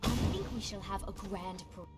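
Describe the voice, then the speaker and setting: A woman's voice: medium pitch, very animated, moderate pace, close to an everyday voice. An actress playing an earnest female ruler addressing her court in a fantasy game, formal and gracious, with music behind. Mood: stately. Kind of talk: fantasy game character acting.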